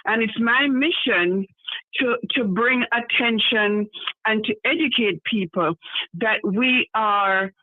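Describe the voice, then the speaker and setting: A woman's voice: a little high, slow, no room sound. A Jamaican woman guest, a carnival organizer, talking earnestly in a casual podcast chat. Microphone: phone call. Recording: tinny phone line.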